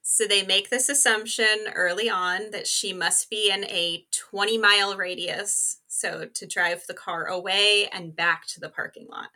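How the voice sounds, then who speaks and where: A woman with an extremely bright, sharp voice at an average female pitch. She is a podcast guest telling true-crime cases casually, explaining step by step in loose, chatty phrasing. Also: steady tone, measured pace, hardly any pauses, low-quality recording. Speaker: podcast co-host as interview guest. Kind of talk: casual conversational case storytelling.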